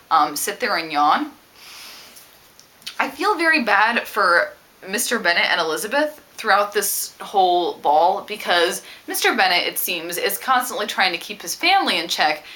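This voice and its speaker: A woman talking casually about a book as a vlogger, with an animated, emphatic and opinionated way of speaking. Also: medium pitch. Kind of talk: casual book discussion to camera.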